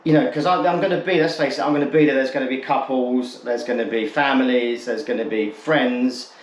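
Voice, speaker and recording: A man's voice: mid-range voice, fairly even intonation, brisk. Echoey, candid man in his forties. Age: late 40s. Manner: candid, rambling, repeats and rephrases himself. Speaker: vlogger introducing his channel. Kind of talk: casual talk to camera. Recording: echoey untreated room.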